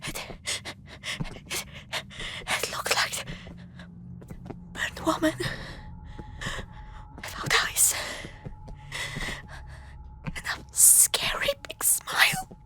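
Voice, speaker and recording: A woman playing a frightened, protective girlfriend in a horror roleplay, speaking in a hushed, hesitant, breathy whisper. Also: drawn-out words, long pauses. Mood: hushed, nervous fear. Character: frightened, protective girlfriend lost in a haunted hospital. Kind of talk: roleplay horror audio drama.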